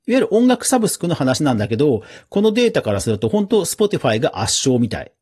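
A man's voice: on the high side, sing-song, quick pace, darkish tone, slightly rough. A man hosting a podcast news round-up in a casual, chatty way, with informal asides and little interjections of agreement. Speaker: podcast host.

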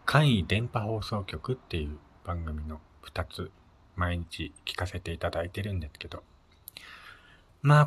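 A man's voice: low voice, slight background noise. A man hosting his own audio show alone, chatting casually in a polite, conversational way. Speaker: host of a personal audio show. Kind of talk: casual solo radio-style chat.

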